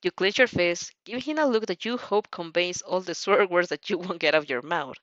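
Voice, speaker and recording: A woman's voice: on the low side, brisk, a bit bright, dry recording, dull audio. A woman narrating fanfiction expressively, dropping in casual asides as she goes.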